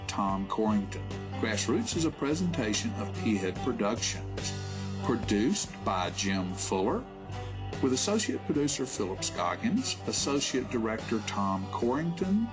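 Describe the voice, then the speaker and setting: A man's voice: lowish voice, very animated, measured pace. A man reading a scripted announcer introduction for a local talk program. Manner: announcer delivery. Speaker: show announcer. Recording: music bed behind voice.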